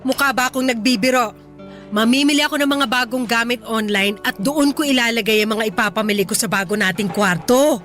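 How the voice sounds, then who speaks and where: A woman's voice: on the high side, brisk, a bit bright, close to an everyday voice. A woman playing a defensive, hot-tempered middle-aged mother, scolding stubbornly in a Tagalog radio drama. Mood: defiant. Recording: music underneath.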